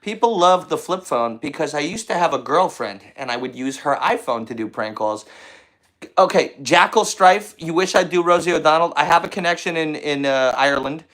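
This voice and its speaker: A man hosting a comedy podcast live from his apartment, thanking viewers for donations with hyped repetition. His voice sits somewhat high for a man. He jumps between chat and shout-outs. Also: lively intonation, moderate pace. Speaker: podcast host. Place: apartment in a big city.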